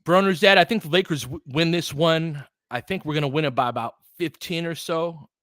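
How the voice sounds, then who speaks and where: A man's voice: on the high side, a little fast, a bit bright, no room sound, clean studio sound. A man doing casual live basketball commentary as a livestream host, talking informally and directly to his viewers.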